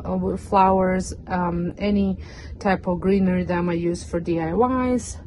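A middle-aged woman chats her way through a home craft room, her voice low-pitched and warm-toned. She rambles easily, with many fillers and restarts. The phone recording carries a faint background hiss from the basement space. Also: steady tone, unhurried. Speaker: home organizing vlogger. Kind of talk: casual walkthrough vlog. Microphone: phone camera, handheld and on a stand.

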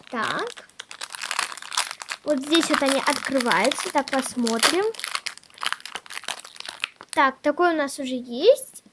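A female host chatting casually in a toy unboxing video, showing things step by step in a simple, halting way. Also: high voice, slight background noise. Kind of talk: casual unboxing vlog chat.

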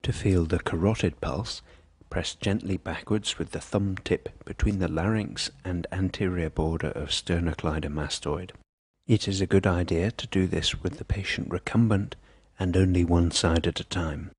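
A man reading scripted medical instruction in a measured, precise, impersonal manner. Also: low-pitched, fairly even intonation, husky, dry recording. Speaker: instructional narrator.

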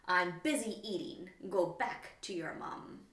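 A round, furry storybook wombat acted out by a woman reader, male and grumpy. He talks in short, gruff, huffy phrases like a loner who wants to be left alone, with room echo around the voice. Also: on the low side, unhurried, fairly bright tone. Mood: grumpy, annoyed. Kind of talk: children's storybook read-aloud. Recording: roomy, echoing indoor room.

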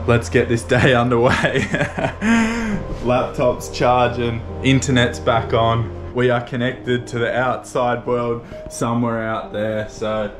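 A man's voice: medium pitch, level tone, slow pace, over music. A man vlogging casually from a remote island lighthouse, chatting as he walks viewers through his morning chores. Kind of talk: casual first-person vlog narration.

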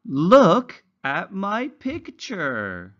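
Very slow, animated male children's teacher. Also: high voice, lo-fi sound. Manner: carefully enunciated, instructional, exaggerated intonation. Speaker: English teacher for young learners. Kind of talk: slow read-along lesson narration for children.

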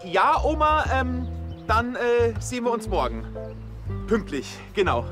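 An actor playing a sheepish, bumbling police underling with a hesitant, halting delivery in a TV crime comedy. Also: male voice, very high voice, huge pitch swings, music in the background, full character voice.

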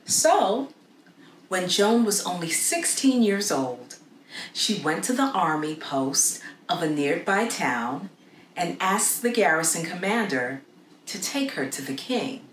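A middle-aged woman reading a story aloud for children in an expressive, deliberate way with dramatic pauses. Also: lowish voice. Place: small indoor room against a plain wall. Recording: roomy echo with faint background noise.

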